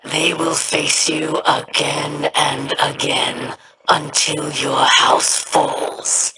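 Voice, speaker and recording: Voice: medium pitch, fairly even intonation, distant mic, background noise. An actor voicing a defiant, hostile alien pirate captain in a video game, menacing in manner. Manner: menacing, slow. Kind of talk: video game character dialogue.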